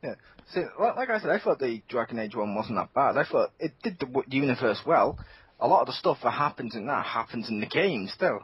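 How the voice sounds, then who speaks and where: Animated husky man chatting about games. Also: mid-range voice, dull audio. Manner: animated, laughs often, quick restarts. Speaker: podcast co-host. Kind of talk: casual gaming chat.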